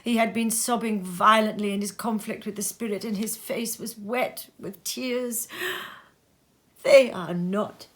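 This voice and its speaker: A woman reading a classic story aloud at home in her sitting room, with unhurried, expressive storytelling. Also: mid-range voice. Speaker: reader. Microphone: home recording.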